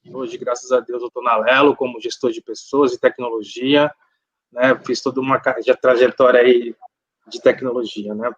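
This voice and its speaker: A man, speaking at a middle pitch. He talks in an easygoing, conversational way and keeps dropping in little tag words. The sound is muffled, like audio from an online call. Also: no room sound. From Brazil, São Paulo coast. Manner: relaxed, conversational, frequent "né". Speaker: panelist, tech manager. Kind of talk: self-introduction at a live online event.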